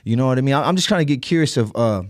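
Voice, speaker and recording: Young male podcast host, from California, casual and stammering, mid pitch, dry close mic. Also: lively intonation, very fast, no echo. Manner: stammering, repeats words, lots of "you know what I mean". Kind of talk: casual interview chat. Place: indoor podcast studio.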